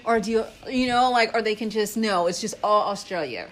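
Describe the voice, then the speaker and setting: A woman's voice: medium pitch, brisk. A woman hosting a casual chat show, enthusiastic and gushing, answering with lots of "wow" and "awesome.". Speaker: host.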